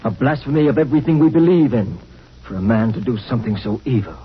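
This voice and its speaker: Male spaceship crewman, cold and moralizing, husky voice, stern fifties radio acting, muffled sound. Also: mid-range voice, lively intonation, fast talker. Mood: indignant. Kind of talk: 1950s radio drama acting. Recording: muffled old radio recording.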